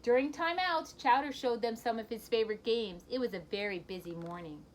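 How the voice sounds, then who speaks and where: A woman reading a children's picture book aloud in an expressive storytelling manner, with brief asides to her listeners. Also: on the high side, brisk, slight background noise.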